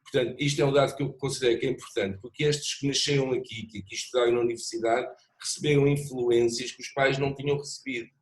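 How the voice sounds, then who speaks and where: A middle-aged man talks through his ideas on a discussion panel in a measured, reflective way. He hesitates often, restarts his phrases, and speaks in an even, mid-pitched voice. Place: lives in Macau.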